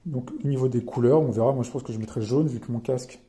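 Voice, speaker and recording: A man's voice: mid-range voice, fast, dark tone. A man with a French accent casually walks through a product as a reviewer, reading out specs and explaining them as he goes.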